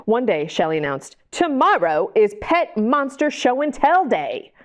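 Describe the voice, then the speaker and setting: A woman hosting storytime, reading a picture book to young children in an animated way, with chatty questions and asides to the listeners. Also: fairly high pitch, measured pace. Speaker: library storytime host and reader.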